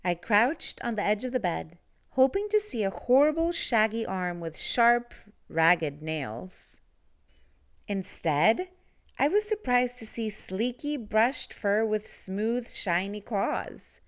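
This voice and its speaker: A woman reading a picture book aloud to children in an expressive style, with deliberate, dramatic phrasing. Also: mid-range voice. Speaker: children's story reader.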